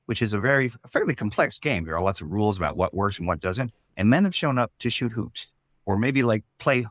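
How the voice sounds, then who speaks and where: A man speaking as a writer in a casual interview, explaining his ideas in a thoughtful, halting way. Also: on the low side, animated, fairly bright tone, no echo, clean studio sound. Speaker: writer and storyteller.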